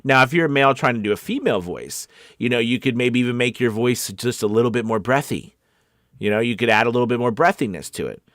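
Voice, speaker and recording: A man's voice: medium pitch, lively intonation, fast, fairly bright tone, dry recording, clean studio sound. A man working as a voice-over coach, talking informally and encouragingly in a loose, rambling, conversational way. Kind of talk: informal live coaching session.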